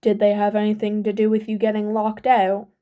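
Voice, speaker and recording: A woman playing a weary male teacher who talks gently but firmly. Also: medium pitch, completely flat delivery, brisk, lightly acted. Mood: gentle, concerned.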